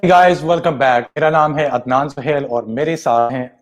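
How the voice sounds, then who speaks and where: A man, hosting a live career talk show from an office and mixing with English. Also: medium pitch. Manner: rapid, switches between Urdu and English. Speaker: host. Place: office with big windows, indoors.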